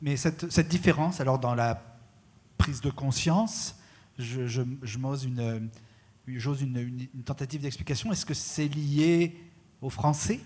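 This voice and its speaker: A man moderating a panel, measured and deliberate when posing questions. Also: mid-range voice, a little mellow.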